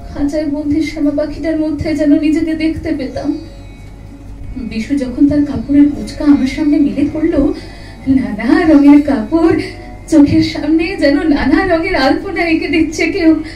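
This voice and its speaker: An actress playing a sorrowful, tender and haunted young sister-in-law in an audio drama, speaking slowly with lingering emotion. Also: female voice, high-pitched, warm tone. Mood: melancholy. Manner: slow, emotive, lingering, repeats phrases. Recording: roomy echo, distant mic, music underneath.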